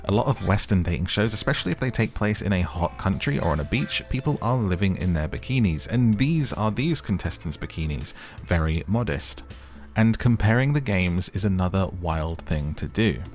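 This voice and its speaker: Very deep-voiced man recapping a show. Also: expressive, moderate pace, darkish tone, faint music behind. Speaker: host of a recap channel. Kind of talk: casual scripted recap commentary of a reality show.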